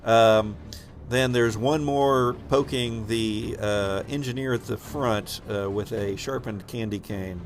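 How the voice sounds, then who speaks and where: A man's voice: lowish voice, fairly even intonation, slow, a little hiss or hum. A man narrating an improvised tabletop game as game master, thinking aloud as he goes.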